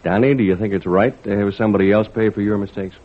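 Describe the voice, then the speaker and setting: An actor playing a steady, fair-minded, fatherly fisherman in 1940s radio style, earnest and plain-spoken. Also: lowish voice, fast talker, natural voice. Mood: firm, kindly. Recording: noisy, narrow-band 1940s radio recording.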